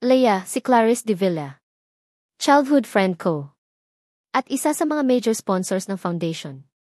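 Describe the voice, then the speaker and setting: A woman's voice: mid-range voice, sing-song, unhurried, darkish tone, dry recording, studio quality. A woman with a Filipino accent voicing an earnest, hesitant young rich man in a narrated romance story. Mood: uncomfortable.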